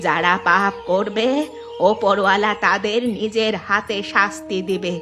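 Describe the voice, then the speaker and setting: A woman's voice: mid-range voice, steady tone, a little slow, natural voice. A woman voicing a kindly, moralizing old village grandmother in a cartoon. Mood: wistful, caring. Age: older. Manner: moralizing, affectionate. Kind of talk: cartoon dialogue acting. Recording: music behind the voice.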